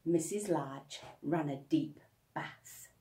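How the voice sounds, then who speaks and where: A woman's voice: low voice, slow, background noise. A woman narrating a children's storybook like a teacher reading aloud. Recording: echoey room, slightly distant microphone.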